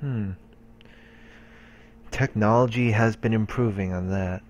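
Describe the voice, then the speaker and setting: A man hosting a let's play, reading a visual novel's narration aloud in a calm, measured way. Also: fairly low pitch. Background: game music and voices.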